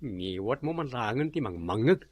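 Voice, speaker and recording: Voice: mid-range voice, expressive, normal speed, a little mellow, dry recording, natural voice. A performer playing a lazy, defensive unemployed man in a radio drama, with a sulky way of talking. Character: lazy unemployed man who watches TV all day.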